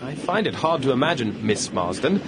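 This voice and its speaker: An actor playing a suave, predatory English lord in a Victorian radio romance, formal, insinuating and self-assured. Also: male voice, very animated, moderate pace. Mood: seductive, smug. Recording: clear train rumble behind voice. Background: train carriage ambience.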